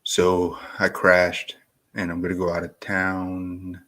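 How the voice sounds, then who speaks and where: A man who just woke up, sounding groggy, rambling in a slow, laid-back live-stream chat about fantasy football. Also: deep voice, completely flat delivery, sharp tone. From Florida. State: groggy and deep-voiced from little sleep.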